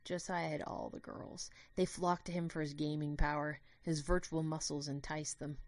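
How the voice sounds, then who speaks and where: A woman giving an earnest, expressive first-person narration of a dramatic story. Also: low voice, normal speed, no room sound.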